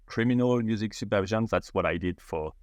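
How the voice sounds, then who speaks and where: A man's voice: on the low side, fairly quick, dry recording, clean studio sound. A middle-aged man from France with a French accent, a founder being interviewed, speaking hesitantly with many fillers and restarts. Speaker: startup founder, guest. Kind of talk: podcast interview conversation.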